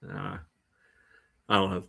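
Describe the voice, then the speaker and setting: A man co-hosting a casual soccer podcast, thinking out loud in a wry way. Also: takes it slowly. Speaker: sports podcast co-host.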